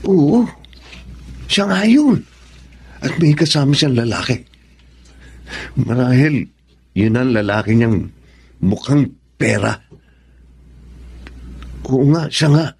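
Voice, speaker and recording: An actor playing a hot-headed, pushy young son in an emphatic Tagalog radio drama style. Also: male voice, medium pitch, expressive, takes it slowly, vocal fry, long pauses, a little mellow, husky, full character voice. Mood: brooding, suspicious. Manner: emphatic, melodramatic, confrontational.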